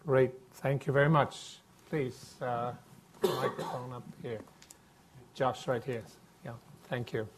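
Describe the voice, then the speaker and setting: A man's voice: mid-range voice, steady tone, slow, a crowd in the background. Guy moderating a panel, polite, keeps things moving. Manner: polite, directing speakers.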